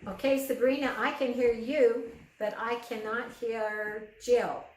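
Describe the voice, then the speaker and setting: A woman testing family two-way radios at home, speaking haltingly, laughing often and closing her turns with "over". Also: on the high side, fairly even intonation, a little mellow. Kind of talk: casual radio check-in. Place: home, indoors, later on a porch. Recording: echoey room, distant mic, background noise.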